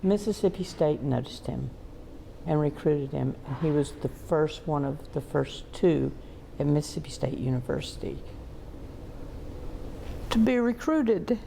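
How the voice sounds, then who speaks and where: A woman giving an unscripted museum tour, telling stories in halting, emphatic phrases. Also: low voice, expressive, vocal fry, warm tone, slight background noise, poor audio. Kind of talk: unscripted guided tour talk. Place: inside a museum.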